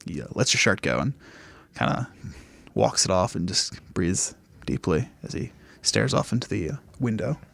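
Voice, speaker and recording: A man's voice: low-pitched, sing-song, normal speed, vocal fry, a bit bright, dry recording. A man narrating an improvised tabletop game in a casual, loosely descriptive way. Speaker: podcast player narrating his character's actions.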